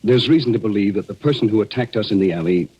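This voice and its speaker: An actor playing an earnest, insistent man in a 1940s radio murder mystery, speaking deliberately and dramatically. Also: lowish voice, lively intonation, breathy edge, highs cut off. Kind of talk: 1940s radio drama acting, first-person mystery narration. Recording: old radio drama recording with music.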